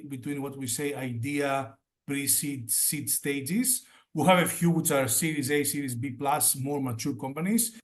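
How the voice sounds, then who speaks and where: A man in his forties from Greece, a native Greek speaker, chatting as an interview guest and often restarting his phrases. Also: medium pitch. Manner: restarts phrases often, fillers like "you know". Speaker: guest startup advisor. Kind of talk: casual interview chat.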